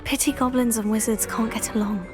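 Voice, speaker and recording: A woman's voice: fairly high pitch, steady tone, fairly quick, over music, natural voice. A woman voicing an earnest, determined young student of magic in a video game, polite and direct. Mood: polite, curious. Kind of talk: video game character dialogue.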